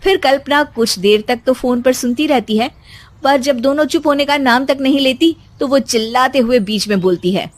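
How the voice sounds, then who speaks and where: A woman's voice: high voice, normal speed, no room sound. A woman with an Indian accent reading scripted narration for a family moral story.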